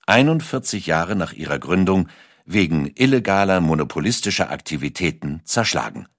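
A man with a low voice narrates a documentary from a script. His intonation moves widely as he tells the story in a measured, dramatic way. The sound is dry and close. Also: no echo.

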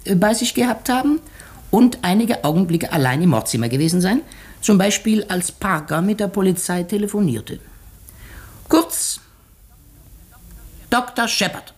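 An actor playing a shrewd, methodical detective in a 1950s radio play, explaining deliberately with dramatic pauses. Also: male voice, sits high, slightly rough, heavily acted. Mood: pointed, accusing. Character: shrewd, methodical male detective unmasking a murderer.

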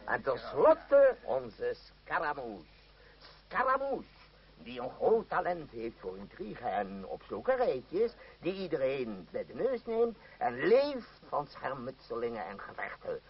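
Grandiloquent troupe leader, unhurried, swooping highish male delivery; muffled old radio drama. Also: huge pitch swings, breathy edge, exaggerated voice. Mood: showy, teasing. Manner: theatrical, grandiloquent, savouring words. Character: florid, pompous father leading a travelling theatre troupe. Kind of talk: radio play acting.

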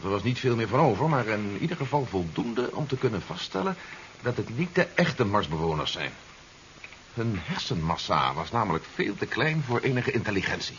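An actor playing an earnest investigator who explains things formally and urgently in a 1970s Dutch radio drama. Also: male voice, fairly low pitch, sing-song, fairly quick, fairly bright tone. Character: earnest male investigator warning of an alien invasion. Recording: dull, noisy 1970s radio recording.

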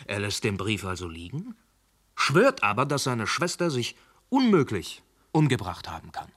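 An actor playing a shrewd police inspector in a radio play who reasons calmly, then grills a suspect harshly. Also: male voice, medium pitch, normal speed. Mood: reasoning. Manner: probing, turns harsh and scolding. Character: shrewd, hard-pressing male police inspector. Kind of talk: radio drama acting.

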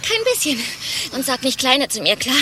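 An actress playing a brash, bossy, foul-mouthed secretary in a satirical radio play, with a coarse, sarcastic way of talking. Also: female voice, sits high, very animated, normal speed, background noise. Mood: indignant.

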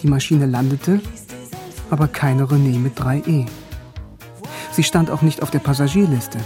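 A man's voice: mid-range voice, normal speed, warm tone, faint music behind, lightly acted. A man playing a young philosophy student in a radio drama, telling his story in the first person in a plain voice. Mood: reflective. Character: young male philosophy student, narrating his own memories.

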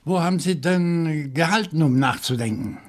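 An actor playing a calm, probing questioner in a German radio crime drama, speaking deliberately and insistently. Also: male voice, a little high, close to an everyday voice. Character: calm, probing man questioning a suspect, Monsieur Fougère.

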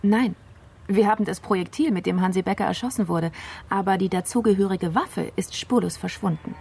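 An actress as a composed woman detective in a crime radio play. Her voice is mellow and medium-pitched, her delivery factual and firm, with faint background ambience. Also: brisk, vocal fry, a little hiss or hum, close to an everyday voice. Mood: matter-of-fact. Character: composed, matter-of-fact female criminal investigator. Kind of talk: dramatic radio play acting.

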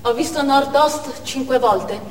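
A woman playing an earnest young female militant in a measured theatrical monologue. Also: high-pitched. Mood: awed, moved. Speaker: stage actor. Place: indoor theatre stage, recorded from afar. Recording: distant, echoey hall with faint noise.

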